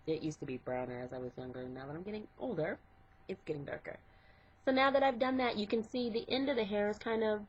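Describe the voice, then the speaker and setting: Congested husky woman explaining hair steps. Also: on the low side, a little mellow. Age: middle-aged. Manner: step-by-step, conversational, with fillers. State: congested from a cold. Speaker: hairstylist. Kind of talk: casual hair tutorial. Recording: dull lo-fi home recording.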